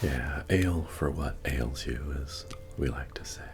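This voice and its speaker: A man playing a friendly, humble medieval fantasy innkeeper in a soft-spoken, deep-voiced, understated ASMR roleplay. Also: measured pace, gravelly, background noise, full character voice. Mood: easygoing, musing. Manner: hesitant, rambling, understated delivery. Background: bard music.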